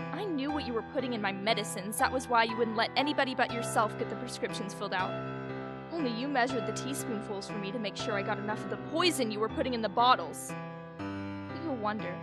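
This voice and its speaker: Radio drama wife from beyond the grave played by a woman: sarcastic, deliberate, fairly high voice over music. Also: lightly acted. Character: dead wife speaking through her letter, knowing, scornful. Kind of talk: theatrical radio play monologue. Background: musical instrument playing underneath.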